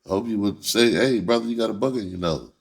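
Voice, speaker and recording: A man's voice: fairly low pitch, fast talker, gravelly. A man talking casually and candidly in a blunt, unfiltered, profane manner. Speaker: entertainer, rapper and writer.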